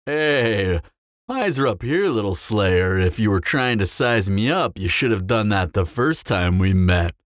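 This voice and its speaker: A man's voice: on the low side, animated, no echo, studio quality. Man acting a smug, swooping flirtatious vampire. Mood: flirty, amused. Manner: teasing, smug drawl with dramatic pauses. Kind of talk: scripted audio roleplay, intimate character acting.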